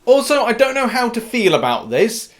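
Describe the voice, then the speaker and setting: A man's voice: extremely high pitch, sing-song, normal speed. A British man hosting an upbeat gaming news update, informal and cheeky, punching the starts of his phrases. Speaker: gaming news YouTuber and host.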